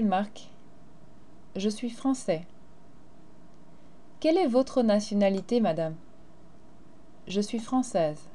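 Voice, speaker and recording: A woman's voice: mid-range voice, dark tone. A teacher, a woman, explaining grammar and carefully modeling phrases in a recorded lesson. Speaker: French teacher.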